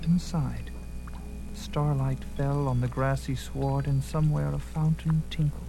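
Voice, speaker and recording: A man's voice: medium pitch, steady tone, a little slow, vocal fry, rough recording. Theatrical male story narrator over music. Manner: theatrical, suspenseful. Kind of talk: dramatic scripted story narration.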